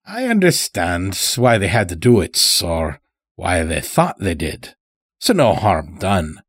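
A man voicing a stout, sly old farmer in an audiobook story, with a folksy drawl and unhurried, dragged-out words. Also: sits low, wildly swooping pitch, slightly rough, dry recording, exaggerated voice. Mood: folksy, placating.

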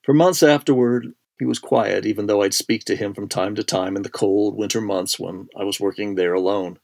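A middle-aged man narrating a first-person ghost story in a measured, suspenseful way, with deliberate pauses and hushed phrases. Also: fairly low pitch, steady tone, vocal fry.

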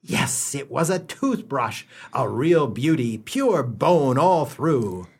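A wry, mock-solemn humorist acted by a man narrates a comic radio play. The voice is a little rough and swings widely in pitch, leaning hard on key words with theatrical relish. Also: very animated, slow pace, full character voice. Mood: wry, mock-delighted. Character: wry humorist narrator gently mocking a boy's gifts. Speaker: storytelling narrator.

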